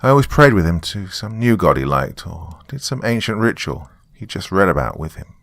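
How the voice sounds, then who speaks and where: A man narrating a scripted first-person story in a measured, confiding way. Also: deep voice, big pitch swings, creaky, fairly bright tone, dry recording, clean studio sound.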